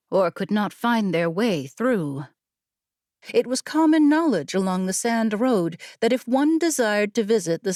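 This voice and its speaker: A woman narrating an audiobook story in a measured, expressive storytelling manner. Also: medium pitch, no echo, studio quality. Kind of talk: scripted fiction narration.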